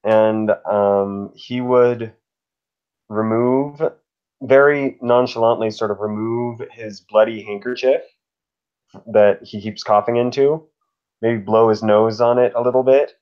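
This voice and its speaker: A man with a slightly low voice roleplays in a tabletop game over a muffled, lo-fi mic. He speaks slowly and tentatively, choosing polite, formal words as he narrates what his character does. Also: steady tone, smooth. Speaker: player in a tabletop role-playing game. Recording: dull lo-fi microphone sound.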